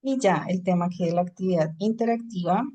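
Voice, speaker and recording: A woman's voice: fairly low pitch, a little fast, dark tone, muffled recording. Woman teaching an English class. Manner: explains in Spanish, switches to English for examples. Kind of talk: online class lecture.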